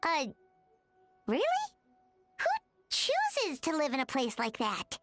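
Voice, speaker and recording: A woman voicing a tiny, childlike fairy companion in an animated video game, bubbly, bossy and excitable, with a squeaky voice. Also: takes it slowly, music in the background, exaggerated voice. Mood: curious. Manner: excitable, exclaiming, chatty.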